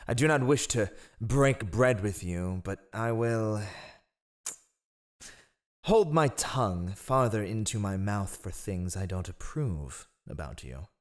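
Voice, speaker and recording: Male actor as fantasy spy master and royal advisor: haughty, sardonic, lowish voice with very animated pitch. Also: slow, pauses often. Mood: grudging disdain. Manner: sardonic, theatrical, sighing disdain. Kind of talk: fantasy audio roleplay acting.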